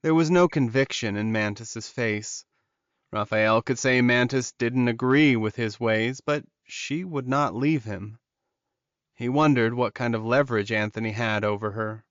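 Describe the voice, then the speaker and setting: Guy narrating a romance fantasy audiobook, mid pitch, really dry sound. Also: a little slow, no room sound, muffled recording.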